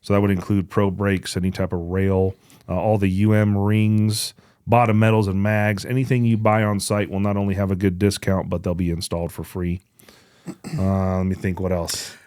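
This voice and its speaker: A man's voice: sits low, flat delivery, moderate pace, darkish tone, smooth. A middle-aged man co-hosting a podcast, talking informally with folksy phrasing and the odd swear word. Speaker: podcast co-host. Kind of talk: casual podcast chat.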